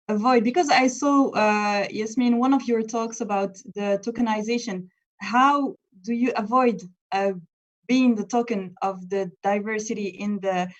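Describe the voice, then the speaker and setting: A woman moderates a live panel, asking her question haltingly with "uh" and "um" as she searches for the right phrasing. Her mid-range voice comes through dull and lo-fi over a video call. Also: mid-range voice. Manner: hesitant, searching for words. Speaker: host. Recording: dull lo-fi audio.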